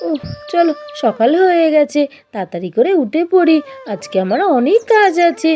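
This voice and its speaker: A woman's voice: high-pitched, sing-song, normal speed, dark tone, soft background music. A woman voicing a busy young village housewife in a children's doll cartoon, chattering to herself in a homely way about chores.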